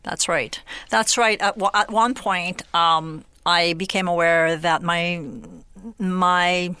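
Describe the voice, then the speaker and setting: Woman poet, unhurried. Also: lowish voice, fairly even intonation, bright, forceful tone, clean tone, no room sound. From Western Canada. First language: French. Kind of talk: radio interview and poetry reading.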